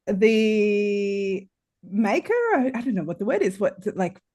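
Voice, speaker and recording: A woman's voice: mid-range voice, slow, a little mellow. A woman speaking informally and unscripted as a panel guest, with a self-deprecating manner. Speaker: podcast creator and author, panel guest.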